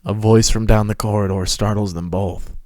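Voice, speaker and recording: A man's voice: on the low side, fairly even intonation, normal speed, a little mellow, no room sound, clean studio sound. A man narrating a scripted story as a podcast host, reading it dramatically.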